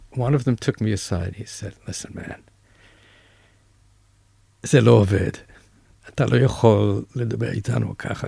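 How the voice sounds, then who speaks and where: A man with an American accent telling anecdotes casually as an interview guest, in halting phrases that often restart. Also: on the low side, gravelly, a little mellow, slightly rough, no echo. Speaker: musician and music educator, interview guest.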